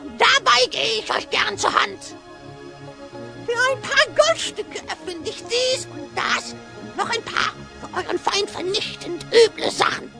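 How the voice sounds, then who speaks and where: A woman's voice: extremely high pitch, lively intonation, unhurried, creaky, music in the background, heavily acted. A woman playing a scheming hyena spy in an audio drama, with oily, theatrical rhyming speech. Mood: eager, sly. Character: scheming hyena spy, sly slanderer who speaks in rhyme. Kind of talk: German children's audio drama acting.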